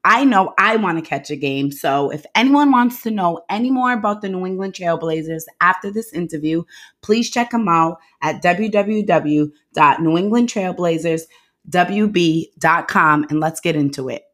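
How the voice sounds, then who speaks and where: Informal woman podcast host, slightly low voice. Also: animated, normal speed, a bit bright. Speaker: sports podcast host and interviewer. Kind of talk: podcast introduction and interview.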